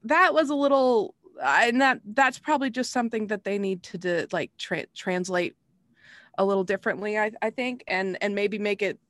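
A woman with a medium-pitched voice shares her opinions in a casual review chat. The sound is dry and free of room echo, as from a podcast panelist. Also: normal speed, fairly bright tone.

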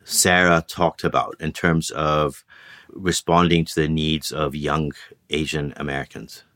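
Deep-voiced male interviewer, dry sound. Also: little pitch movement, measured pace, sharp tone, no echo. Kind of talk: measured interview questions.

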